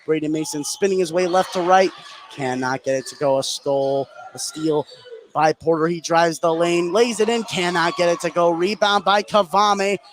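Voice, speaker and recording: A man's voice: fairly high pitch, normal speed, a little hiss or hum. A man doing live play-by-play commentary on a basketball game. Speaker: play-by-play sports announcer. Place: indoor high school basketball game.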